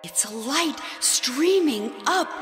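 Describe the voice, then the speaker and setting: A woman playing a dreamy, tender wife and mother in an audio drama, speaking in halting, breathless exclamations as if seeing visions. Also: high-pitched, lively intonation. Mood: rapturous awe. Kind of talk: emotive audio drama acting. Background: loud music.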